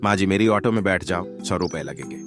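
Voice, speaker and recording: A man's voice: sits low, expressive, brisk, over music. A man voicing a scornful, money-minded village auto driver in a cartoon, mocking and dismissive. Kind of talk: Hindi cartoon voice acting.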